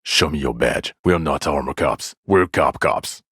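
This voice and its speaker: An actor playing an exasperated, foul-mouthed police partner in a video game, world-weary, sarcastic and contemptuous in a gravelly voice. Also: male voice, a bit bright, no echo, clean studio sound, heavily acted. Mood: sarcastic, bitter. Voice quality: gravelly, low. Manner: sardonic, weary, contemptuous, deliberate scolding. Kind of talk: video game character voice acting.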